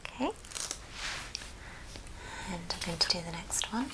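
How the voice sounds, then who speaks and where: Woman whispering an asmr craft how-to, really slow, noticeable noise behind her. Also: fairly low pitch, lively intonation. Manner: whispering throughout. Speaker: ASMR craft video host. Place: at a friend's house, indoors. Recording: noticeable background noise and clicks.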